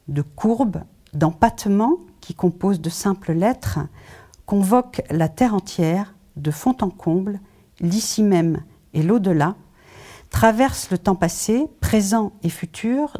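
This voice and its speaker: A woman interviewing an author, reading lyrical prepared passages and asking measured questions with small hesitations. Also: mid-range voice, warm tone. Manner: measured, reads lyrical prose then asks with hesitations.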